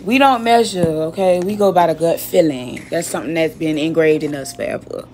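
A woman's voice: low-pitched, fairly quick, crowd noise. Chatty young woman cooking at home. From Miami. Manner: chatty, slangy, repeats words, talks while cooking. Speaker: cooking vlogger. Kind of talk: casual cooking vlog chat. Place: home kitchen.

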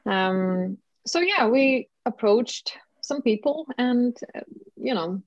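A woman's voice: a little high, animated, takes it slowly, dry recording. Finland Swedish woman on a video call. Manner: chatty, many fillers, frequent laughs. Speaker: singer, guest. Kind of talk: casual video-call interview. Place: home room, indoors. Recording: dull lo-fi video call audio.

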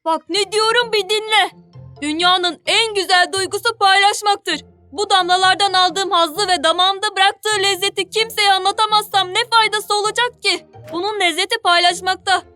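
Squeaky-high, bright, earnest cartoon critter. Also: female voice, normal speed, faint music behind. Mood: earnest pleading. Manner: earnest and expressive. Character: excitable, kind-hearted, cautious young yellow sea creature. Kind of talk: children's cartoon dubbing.

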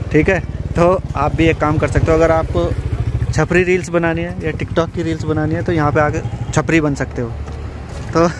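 A man in his thirties vlogging casually to his viewers, joking and chatty, filmed outdoors by a river on a phone at arm's length. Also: on the high side. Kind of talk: casual travel vlog to camera. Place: outdoors by a river and on a bridge in hills. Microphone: phone held at arm's length. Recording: outdoor noise from passing traffic.

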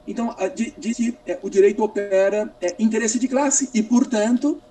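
A middle-aged Brazilian man speaking as an academic in an online discussion, halting and repeating himself as he searches for words. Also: high voice, steady tone, dark tone, faint music behind. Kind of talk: extended philosophical question in online panel. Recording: distant mic, slightly noisy video call.

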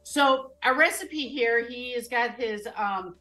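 A woman's voice: a little high, slow, pushed and bright, soft background music. A woman in her fifties hosting a live cooking show from her home kitchen, chatting casually and explaining each step as she goes.

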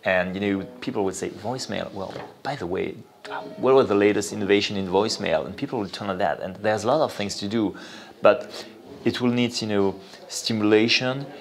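Male interview guest, French accent, low-pitched, explains in a halting, thinking-aloud way. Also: a little hiss or hum. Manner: halting, thinking aloud with repeated "you know". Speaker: interview guest, venture capital investor. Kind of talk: spoken interview, explanatory talk.

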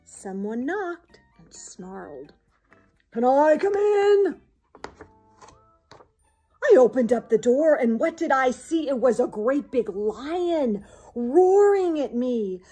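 A woman, reading a picture book to children in a dramatic, expressive way. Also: a little high, slow, slightly rough, faint music behind. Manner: dramatic, theatrical. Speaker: volunteer storyteller. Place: small indoor room with bookshelves.

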